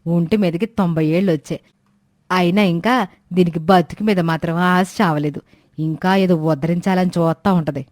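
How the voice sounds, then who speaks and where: A woman voicing a greedy, sharp-tongued village wife who scolds with sarcasm in a fairy tale. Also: on the low side, steady tone, normal speed, no echo, studio quality, pitched below the performer's own voice, close to an everyday voice. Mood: scornful. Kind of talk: acted dialogue in a moral fairy tale.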